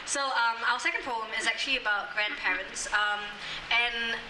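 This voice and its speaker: A young woman from Singapore reciting poems and explaining them in an easy, rambling way with frequent ums. She is heard from some distance in an outdoor courtyard, with echo bouncing around her. Steady background noise sits under her voice. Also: a little high, normal speed. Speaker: spoken word poet. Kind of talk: poetry performance and chat. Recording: echoey, distant, noisy outdoor recording.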